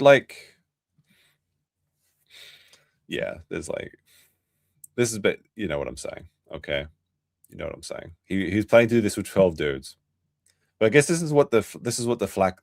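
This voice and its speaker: Low-voiced man rambling on a stream. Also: expressive, a little fast, vocal fry, dry recording, clean studio sound. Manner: rambling, offhand, restarts mid-sentence. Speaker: streamer host. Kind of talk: casual late-night livestream commentary.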